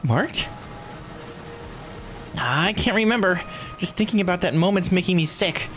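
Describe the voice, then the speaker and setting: A man voicing an excitable, frightened young woman in a video game, startled and questioning. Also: sits high, a little slow, faint music behind, pitched above the performer's own voice. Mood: scared, upset. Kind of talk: video game dialogue read aloud.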